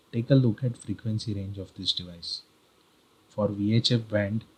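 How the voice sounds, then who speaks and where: Husky low-voiced Indian-accented man. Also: sharp tone, low-quality recording. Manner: deliberate, repetitive, instructional. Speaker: video guide presenter. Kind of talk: explanatory tutorial narration.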